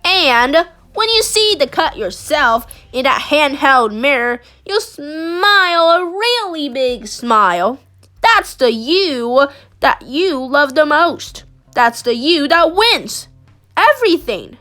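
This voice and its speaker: A woman with a high-pitched voice reads a children's story aloud. Her delivery is expressive and animated, with dramatic emphasis on key phrases. Faint music plays behind her. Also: takes it slowly. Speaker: storybook reader. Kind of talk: expressive read-aloud of a children's picture book.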